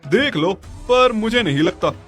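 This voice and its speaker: A man's voice: high voice, lively intonation, measured pace, fairly bright tone, faint music behind, voice pushed up. A man voicing a blunt, practical neighbour giving insistent advice in a cartoon story. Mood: blunt, doubtful. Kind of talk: Hindi cartoon story dialogue.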